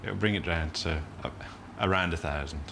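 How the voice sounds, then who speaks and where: A man selling rings bargains in an easygoing, joking way. His voice is very deep. He is heard from a little way off the mic, with a faint hiss underneath. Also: normal speed, creaky, sharp tone. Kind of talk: casual sales talk.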